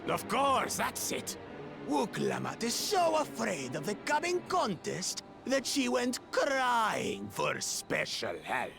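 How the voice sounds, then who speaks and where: A man playing an arrogant, bullying two-headed reptilian warrior in a video game, with a gravelly, mocking, pompous delivery. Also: very high-pitched, wildly swooping pitch, slow, over music, heavily acted.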